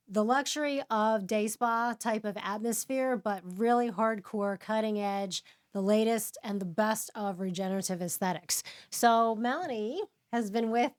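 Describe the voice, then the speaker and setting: A middle-aged woman hosting a podcast, chatting casually in long run-on sentences full of industry terms. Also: medium pitch. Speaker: podcast host. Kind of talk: casual chat.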